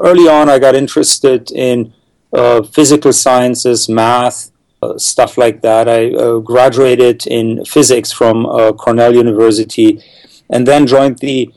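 Czech-born scientist, dry close sound. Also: male voice, mid-range voice, darkish tone, dry recording, low-quality recording. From Czech Republic. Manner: thoughtful, many fillers and repeated words. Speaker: neuroscientist guest. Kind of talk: interview answers, explaining science.

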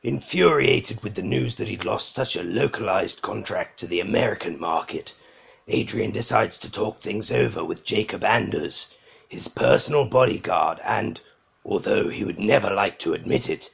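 A man's voice: lowish voice, expressive, normal speed, gravelly, breathy edge, exaggerated voice. A man narrating a satirical audio drama as a dry, wry storyteller.

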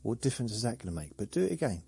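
A man hosting a sleep podcast, talking slowly in a meandering, deadpan, joking way with faint music behind. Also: lowish voice, creaky, breathy edge, no echo. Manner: slow, meandering, deadpan humor. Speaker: podcast host. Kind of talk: rambling sleepy monologue.

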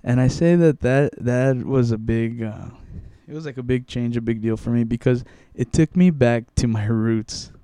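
Young man, from Mexico, casual interviewee, dark smooth tone, close and dry, halting delivery. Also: mid-range voice, no echo. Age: young adult. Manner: rambling, many restarts and fillers.

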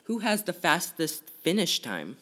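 A man's voice: a little high, huge pitch swings, unhurried, sharp tone. A man asking interview questions, with some fillers. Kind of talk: casual interview.

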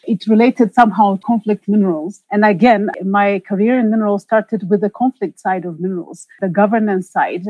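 A woman from Ethiopia speaks in an interview with a mellow, warm tone at a middle pitch. She answers earnestly and reflectively, pausing on the odd filler, and her voice sounds dry and close. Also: no room sound, low-quality recording. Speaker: director of a minerals development centre.

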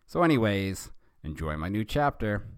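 A man's voice: lowish voice, very animated, normal speed, clean tone, dry recording, studio quality. A man voicing a chirpy young fan-fiction author greeting readers, in a chatty manner.

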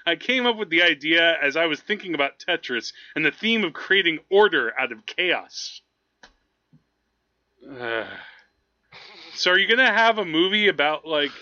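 A man with a very bright, forceful voice co-hosts a casual podcast. His delivery is animated and jokey, and he laughs readily. The audio is slightly dull and lo-fi. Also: fairly high pitch, moderate pace. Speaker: podcast co-host. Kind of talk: casual movie chat.

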